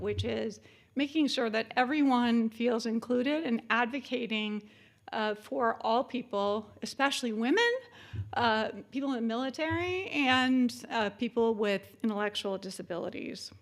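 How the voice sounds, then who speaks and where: Chatty woman in her fifties giving a keynote. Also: fairly high pitch. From born in Japan, military family. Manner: warm, chatty, many "uh" fillers. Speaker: keynote speaker. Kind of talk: conference keynote talk.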